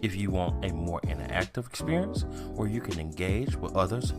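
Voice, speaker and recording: A man reading scripted weekly church announcements in a smooth, upbeat announcer delivery. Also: low voice, lively intonation, fairly quick. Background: guitar music bed.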